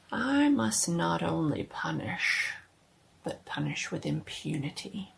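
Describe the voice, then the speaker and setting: Female storyteller, low-pitched and gravelly at the edges, theatrical horror narration with noise behind. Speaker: host of a horror story reading series. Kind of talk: dramatic scripted narration of a classic scary story.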